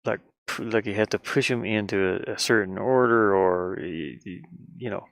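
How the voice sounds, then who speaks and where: Casual male developer, tired and creaky-voiced, rambling half to himself, playful, dry close mic. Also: lowish voice, moderate pace, fairly bright tone, dry recording. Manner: muttering to himself, playful sing-song sounds. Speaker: indie game developer narrating own work. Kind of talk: casual rambling commentary while working.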